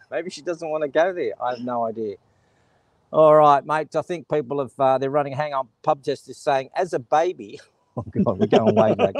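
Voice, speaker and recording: A man's voice: on the high side, expressive, moderate pace, vocal fry, no echo. Joking Australian man hosting a chat. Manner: joking, laughs often, reads out viewer comments. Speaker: host. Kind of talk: casual chat.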